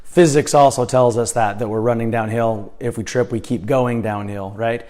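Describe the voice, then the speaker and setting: A hoarse man speaking as a life coach in a casual video blog. His voice is dark and mellow at an ordinary middle pitch, and he talks earnestly, restarting his sentences and filling pauses with "um". He records indoors, where the room adds a slight echo. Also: steady tone. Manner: earnest, many fillers and restarts. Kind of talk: casual vlog monologue.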